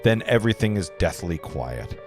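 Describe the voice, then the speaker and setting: A man's voice: deep voice, big pitch swings, moderate pace. A man reading stage directions as narrator at a script table read, stumbling over words now and then. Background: clear guitar music.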